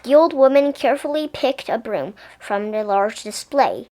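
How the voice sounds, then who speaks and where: A woman reading a children's picture book aloud as an expressive narrator. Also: a little high, normal speed. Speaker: storybook reader.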